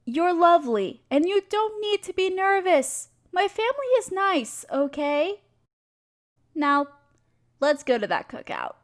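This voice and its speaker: Sweet high-voiced girlfriend, acted by a woman. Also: big pitch swings, measured pace, exaggerated voice. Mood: reassuring, affectionate. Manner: sweet, soothing, tender. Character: affectionate, reassuring girlfriend bringing partner to meet family. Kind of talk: roleplay audio acting, addressing the listener as partner. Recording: noisy park and fireworks effects. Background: park sounds, fireworks.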